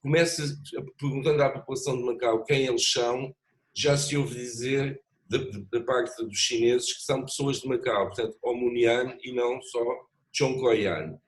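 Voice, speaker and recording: Measured reflective man at a panel. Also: mid-range voice, steady tone, rough recording. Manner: measured, reflective, many hesitations and restarts. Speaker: panel speaker. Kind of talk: thoughtful panel discussion. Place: lives in Macau.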